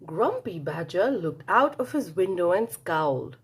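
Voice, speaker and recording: A woman's voice: on the low side, big pitch swings, measured pace, slightly rough. A woman reading a children's storybook aloud expressively, engaging young listeners with questions and a dramatic telling.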